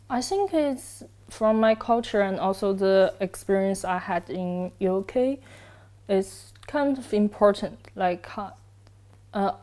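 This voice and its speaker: A woman's voice: mid-range voice, warm tone. A young woman from China, answering interview questions hesitantly, with many fillers. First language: Chinese.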